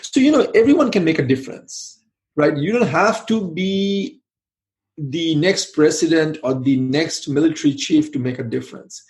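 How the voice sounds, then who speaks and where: A man's voice: on the high side, expressive, moderate pace, a little mellow. Rambling middle-aged man from Bangladesh. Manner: rambling, many "you know" fillers and restarts. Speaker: podcast guest, IT manager and founder. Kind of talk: conversational interview answers.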